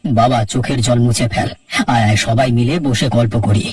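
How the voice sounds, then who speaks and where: A man's voice: mid-range voice, steady tone, a little fast, darkish tone, breathy edge, no room sound. A man voicing a mild, concerned older father-in-law in a children's cartoon, conciliatory and avuncular. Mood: glad. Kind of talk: Bengali children's cartoon voice acting. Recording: distant voice with background music.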